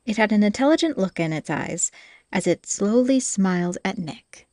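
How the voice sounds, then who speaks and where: A woman's voice: medium pitch, lively intonation, moderate pace, mellow, no room sound, clean studio sound. A woman reading scripted fantasy audiobook narration as the storyteller.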